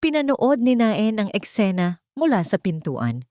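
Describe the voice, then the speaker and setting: A woman narrating a scripted dramatic love story in a measured, emotive way. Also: medium pitch, sing-song, warm tone, no room sound, studio quality.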